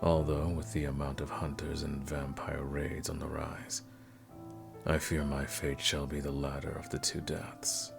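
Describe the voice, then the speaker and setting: A man playing a suave, courteous vampire nobleman in a scripted ASMR roleplay, speaking formally and softly in a gravelly voice. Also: flat delivery, unhurried, exaggerated voice. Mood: sombre, measured. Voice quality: very deep, gravelly. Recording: music playing behind the voice.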